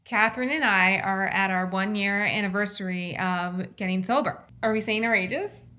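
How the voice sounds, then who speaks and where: A bright, clear-toned woman in her late thirties. She co-hosts a podcast and talks quickly and casually, often laughing and restarting her sentences. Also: medium pitch, soft background music. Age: 30s. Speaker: podcast co-host. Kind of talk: casual chat.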